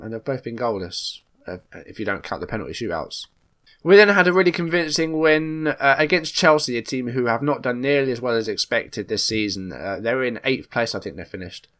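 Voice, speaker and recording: A man's voice: medium pitch, a bit bright. A man with a slight cold hosting a casual let's play video, recapping matter-of-factly in a conversational way. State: coming down with a cold. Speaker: YouTube gaming channel host.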